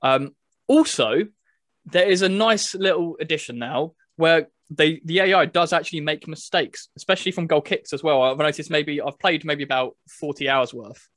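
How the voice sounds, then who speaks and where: A man's voice: on the high side, normal speed, fairly bright tone, no echo, studio quality. A man chatting casually as a podcast host, reviewing a video game.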